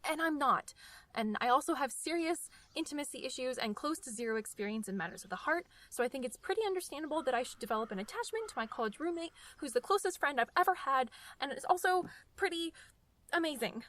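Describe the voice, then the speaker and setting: A sardonic college girl, played by an actress with a fairly high young female voice. She is stubborn, blunt and wry, delivered as audio drama dialogue. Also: expressive, fast talker, a bit bright. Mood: defensive, nervous. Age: young adult.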